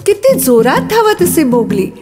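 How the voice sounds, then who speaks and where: High, lively, unhurried woman storyteller. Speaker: storyteller, narrator. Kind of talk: expressive scripted children's story narration. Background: music.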